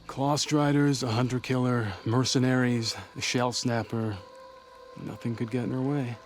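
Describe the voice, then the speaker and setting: A man's voice: medium pitch, steady tone, normal speed, lightly acted. Man acting a somber, guilt-worn fugitive, music behind. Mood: rueful. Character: earnest, guilt-worn male fugitive searching for someone. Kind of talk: naturalistic video game voice acting.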